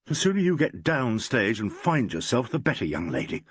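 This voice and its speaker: A man voicing a shaken theatre manager who speaks quietly with clipped authority. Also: mid-range voice, sing-song, moderate pace, breathy edge, dry recording.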